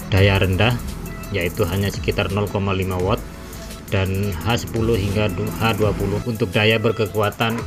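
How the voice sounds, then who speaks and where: A man giving a measured, step-by-step tutorial voice-over as a gadget reviewer. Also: lowish voice. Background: background music.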